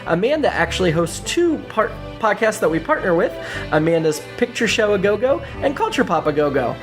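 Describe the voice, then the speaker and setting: A woman's voice: mid-range voice, sing-song, brisk. A woman reading a scripted podcast introduction as the host. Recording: music behind the voice. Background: music.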